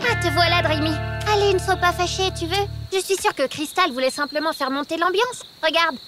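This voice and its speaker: A woman voicing a proud, assertive young girl in a cartoon, with expressive delivery. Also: very high-pitched, fairly quick, music in the background. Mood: earnest, spirited. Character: bossy, proud young girl hosting her party. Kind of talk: French cartoon dub acting.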